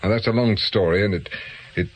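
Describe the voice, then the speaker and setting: An actor playing a shrewd ex-police inquiry agent with a British accent in a radio drama, blunt and probing. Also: male voice, fairly low pitch, fairly quick, close to an everyday voice, low-quality recording. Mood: pressing, confident. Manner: blunt, probing, matter-of-fact.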